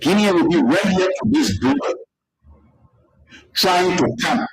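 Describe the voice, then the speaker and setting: A man, heard in a played clip, slowly making defiant, threatening declarations. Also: high voice, very animated, vocal fry, breathy edge, poor audio.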